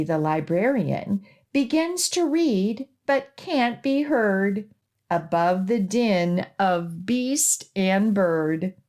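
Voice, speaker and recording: A woman children's librarian reading a rhyming picture book aloud for young children, with expressive, measured emphasis on the rhymes. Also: mid-range voice. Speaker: children's librarian and storytime host.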